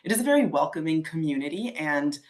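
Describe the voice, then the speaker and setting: A woman who is a voice actor, with a very deep voice, earnestly answering a coach's questions on a video call. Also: lively intonation, fast, darkish tone. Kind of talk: answering questions in a role-play.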